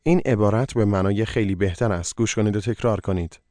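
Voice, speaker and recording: Brisk, rapid male course narrator. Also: on the low side, warm tone, dry recording. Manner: brisk, directive prompting. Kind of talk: scripted instruction.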